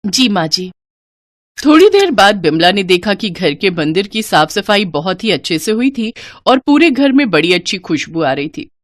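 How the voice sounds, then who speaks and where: A woman's voice: medium pitch, very animated, moderate pace, no room sound, studio quality. A woman narrating a scripted moral story as a storyteller.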